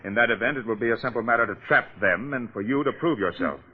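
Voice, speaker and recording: An actor playing a brisk, shrewd police detective with an authoritative, clipped manner in a 1940s radio mystery. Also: male voice, medium pitch, breathy edge, lightly acted. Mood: brisk, probing. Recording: muffled old-time radio recording.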